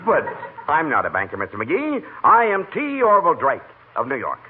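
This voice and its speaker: A man playing a courteous, earnest gentleman visitor with formal, flowery politeness in an old radio comedy. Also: on the high side, wildly swooping pitch, moderate pace, a little hiss or hum. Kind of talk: 1940s radio comedy acting. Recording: tinny old-time radio recording.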